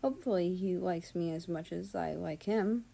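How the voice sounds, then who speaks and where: A woman's voice: on the low side, normal speed, gravelly, mellow. A woman reading a piece of fan fiction aloud as scripted story narration.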